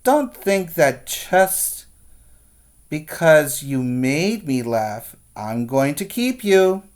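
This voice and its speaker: Man reading as an exasperated young boy, slow and emphatic. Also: on the high side, very animated, exaggerated voice. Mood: exasperated, firm. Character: young boy, disappointed and exasperated new pet owner.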